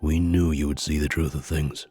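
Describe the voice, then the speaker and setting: An actor playing a solemn, conspiratorial mystic seer in a visual novel game, speaking gravely, deliberately and formally. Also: male voice, extremely low pitch, flat delivery, mellow, dry recording. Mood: solemn, persuasive. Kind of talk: scripted visual novel character dialogue. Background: background music.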